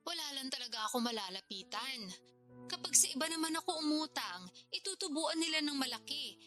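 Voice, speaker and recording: A high-voiced, middle-aged provincial woman in a radio drama. She is the broke big sister, insistent and guilt-tripping, always wheedling. Music plays clearly behind her. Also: expressive, fairly quick, bright, forceful tone. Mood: pleading. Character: pleading, nagging older sister from the province, hard up.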